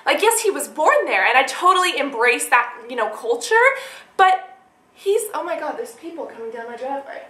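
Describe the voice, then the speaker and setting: A young woman vlogger chatting casually to her viewers in an animated, rambling way. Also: sits high, normal speed. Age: young adult. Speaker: beauty and lifestyle vlogger. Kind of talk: casual chat answering tag questions to camera. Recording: echoey room.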